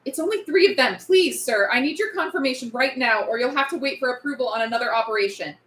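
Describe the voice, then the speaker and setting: Woman acting high-voiced, urgent young lieutenant in echoey room. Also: quick pace, a bit bright. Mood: pleading. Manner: urgent, pleading. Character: young female army lieutenant, determined and desperate. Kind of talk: script table read acting. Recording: roomy, echoey table read.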